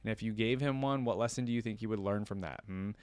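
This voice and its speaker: A man voicing a wry, cheerful old great-aunt who gently scolds and teases in a storybook tale. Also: fairly low pitch, quick pace, smooth, no echo, lightly acted. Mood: gentle scolding.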